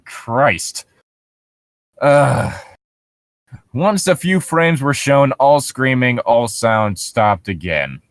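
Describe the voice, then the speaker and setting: A man's voice: mid-range voice, expressive, very slow, long pauses, no room sound, studio quality. A man co-hosting a podcast in a casual, comedic way, picking things apart with incredulous, profane commentary. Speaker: podcast co-host reading and commenting on a horror story.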